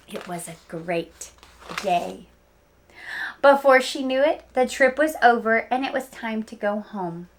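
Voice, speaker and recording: Woman, teacher, fairly high voice, unhurried delivery, animated storybook read-aloud, simple explanations for children. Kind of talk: expressive picture-book read-aloud with chatty asides to children.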